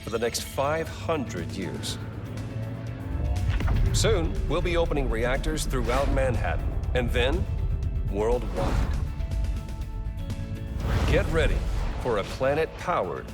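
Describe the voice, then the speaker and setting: A man playing a confident corporate executive in a video game, delivering a polished, deliberate promotional speech. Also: mid-range voice, big pitch swings, over music. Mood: confident pitch. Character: smooth, confident male corporate executive selling new energy technology.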